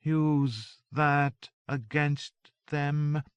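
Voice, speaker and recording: A tiny, zealous young maiden who speaks of holy battles in high, ringing tones, as a male narrator puts her on. The delivery is fervent and declaiming. The sound is dry and close. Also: steady tone, normal speed, a bit bright, no echo. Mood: droning. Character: Joan of Arc as a tiny zealous young woman. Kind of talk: audiobook character voice.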